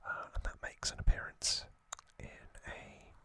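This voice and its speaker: Slow whispering man, ASMR style. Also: bright, forceful tone. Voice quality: breathy, unvoiced whisper. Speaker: ASMR creator, host. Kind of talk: ASMR whispered storytelling and trivia.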